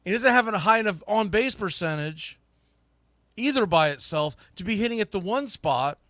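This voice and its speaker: A man's voice: high voice, expressive, moderate pace, fairly bright tone, no echo, studio quality. A man hosting a live sports talk podcast, speaking casually and emphatically with informal, opinionated, mildly salty phrasing.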